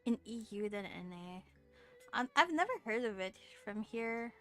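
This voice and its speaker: Casual young woman streamer over soft music. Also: medium pitch, lively intonation, moderate pace. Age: young adult. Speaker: game streamer. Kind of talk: casual live stream chat.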